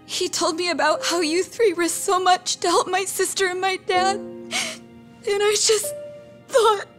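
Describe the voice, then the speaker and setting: A grieving, vulnerable young woman in a game drama. Her crying voice is extremely high and comes slowly, with faint music behind it. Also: fairly bright tone. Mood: tearful, grieving. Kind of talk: dramatic video game acting.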